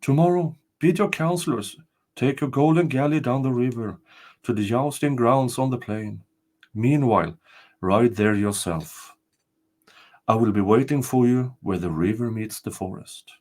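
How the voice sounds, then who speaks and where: Guy doing a calm solemn lady castle ghost from an old folktale, measured, kinda chanty. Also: medium pitch, close to an everyday voice. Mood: calm, instructing. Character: calm, solemn female spirit of a castle, guiding a king. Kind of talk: storybook folktale character voice.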